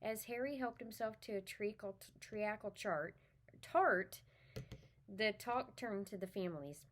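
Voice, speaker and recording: Woman doing a kids book read aloud, informal and friendly with listeners, medium pitch. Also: unhurried, fairly bright tone, slight background noise. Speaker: read-aloud reader for children.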